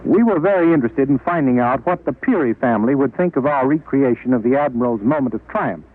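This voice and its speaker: A man's voice: mid-range voice, animated, quick pace. A man interviewing in a formal, polished 1940s radio style on a tinny vintage recording.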